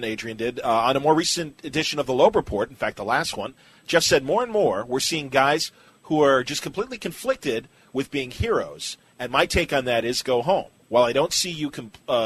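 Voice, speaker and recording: A man's voice: mid-range voice, animated, brisk, fairly bright tone, a little hiss or hum. A man hosting a casual interview podcast, chatting and putting listener questions to his guest. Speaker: podcast host.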